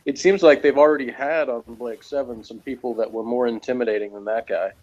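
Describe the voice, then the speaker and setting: A man with a mid-pitched voice talks casually as a co-reviewer. His sentences are tentative and meander along. The sound is dull and lo-fi, as on an online call. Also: fairly even intonation, a little fast, darkish tone. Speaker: co-host or guest reviewer.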